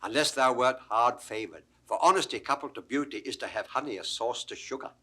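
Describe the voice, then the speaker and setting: An actor playing a witty, bawdy court jester with mock-pompous relish in a 1960s Shakespeare audio drama. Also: male voice, medium pitch, fairly quick, sharp tone, slightly rough. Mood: teasing, mock-learned. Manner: theatrical, wordplaying, mock-pompous.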